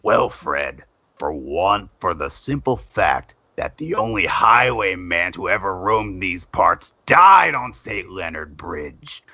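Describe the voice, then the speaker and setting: A man's voice: low voice, big pitch swings, takes it slowly, pushed and bright, no room sound, heavily acted. A man playing a stern, scornful judge who delivers his pronouncements pompously, in a dull home recording. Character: stern, scornful male judge passing sentence.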